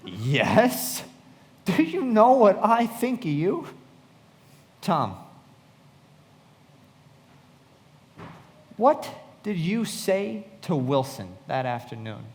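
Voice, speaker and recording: A man's voice: sits high, huge pitch swings, takes it slowly, a little mellow, heavily acted. An actor playing an earnest, disillusioned young man who narrates a radio play. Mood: cold, confrontational. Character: earnest, disillusioned young man recalling his friend's death. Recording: reverberant hall.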